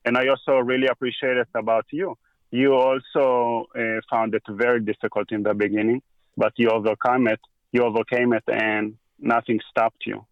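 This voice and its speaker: A man from Israel speaking English with an Israeli accent in a casual interview, talking slowly with many pauses and restarts. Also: mid-range voice, little pitch movement, no echo, narrow, tinny audio. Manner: halting, with frequent pauses and restarts. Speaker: guest. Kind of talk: casual conversation.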